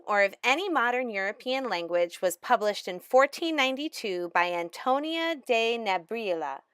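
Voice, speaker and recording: A woman giving a measured, explanatory lecture narration as a language instructor. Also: medium pitch, a bit bright, smooth, no room sound, clean studio sound.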